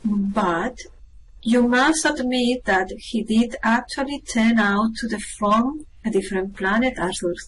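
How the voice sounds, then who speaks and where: Radio play part: clever, composed young spacefaring woman, actress, unhurried, off-mic with faint noise. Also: fairly high pitch, fairly bright tone. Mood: wry, composed. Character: cool, clever, unruffled young female space traveller. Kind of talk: amateur radio play acting. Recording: distant mic, background noise.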